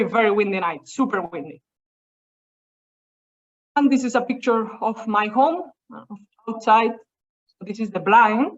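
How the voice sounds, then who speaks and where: Casting: young woman, Spanish accent, chatty storyteller presenting, mid-pitched voice, dull muffled call audio. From Spain. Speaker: seminar speaker. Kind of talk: academic talk over a video call. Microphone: video call.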